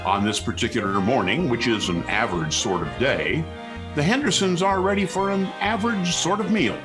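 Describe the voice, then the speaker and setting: A man's voice: medium pitch, normal speed, close to an everyday voice. A man announcing and narrating a 1940s radio show in a formal, theatrical way. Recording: 1940s radio recording with music.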